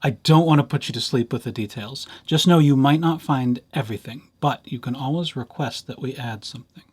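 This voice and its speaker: A man giving an informal software tutorial as a library instructor, talking conversationally with lightly playful asides. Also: medium pitch, fairly quick, breathy edge.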